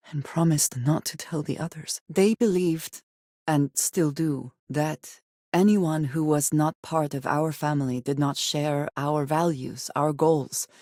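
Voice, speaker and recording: An actress playing a guarded, intense female space explorer in a video game, speaking in formal, measured, deliberate phrases. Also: very deep voice, warm tone, dry recording, clean studio sound, full character voice. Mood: earnest, grave. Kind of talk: video game character dialogue.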